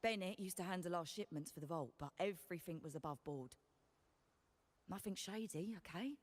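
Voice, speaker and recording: A woman's voice: fairly low pitch, fairly quick, close to an everyday voice. A woman voice actor playing a blunt, practical commoner trader in a video game, with a frank, sardonic, informal manner. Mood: defensive.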